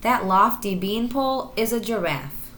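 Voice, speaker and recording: A woman voicing a wise old male cat from a storybook, assured and quick to correct. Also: mid-range voice, expressive, a bit bright, slight background noise, pitched above the performer's own voice. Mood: amused correction.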